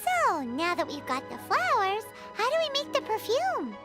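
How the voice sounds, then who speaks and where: Game fairy sidekick: tiny, squeaky, very high and swooping, cheeky and excitable, music behind. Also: female voice, normal speed, full character voice. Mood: eager, cheeky. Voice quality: squeaky, childlike. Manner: bubbly, blunt, refers to self by name. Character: small chirpy childlike fairy companion, excitable and cheeky. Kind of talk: video game voice acting.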